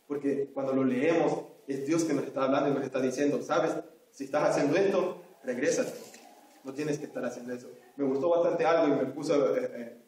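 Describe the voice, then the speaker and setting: A man's voice: a little high, a little mellow. Salvadoran man preaching in echoey church. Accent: Salvadoran Spanish. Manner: earnest, repeats phrases, addresses listeners as brothers. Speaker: Sunday school teacher. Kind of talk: sermon. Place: church hall. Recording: very echoey hall, voice from far off.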